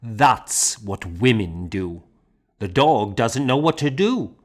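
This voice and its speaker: A hearty monk schoolmaster performed by a male audiobook reader, sure of himself and a little mocking. He speaks bluntly and with emphasis, at an unhurried pace. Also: medium pitch, big pitch swings. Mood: insistent, assured. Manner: blunt, emphatic, self-assured. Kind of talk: audiobook character dialogue.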